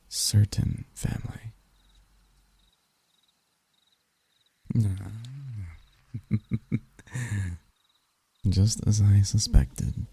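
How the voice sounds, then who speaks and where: A man playing a suave, arrogant demon prince of lust in an intimate ASMR roleplay, with a gravelly, breathy voice and a smooth, taunting manner. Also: sits low, warm tone, no echo, heavily acted. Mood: teasing, intrigued. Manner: smooth-talking, taunting, unhurried, dropping into whispers. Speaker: voice actor.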